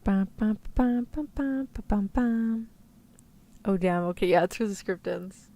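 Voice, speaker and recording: A woman's voice: medium pitch, measured pace, dark tone. A woman streamer chatting casually in a playful, rambling way. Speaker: VTuber streamer. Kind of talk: casual livestream chat.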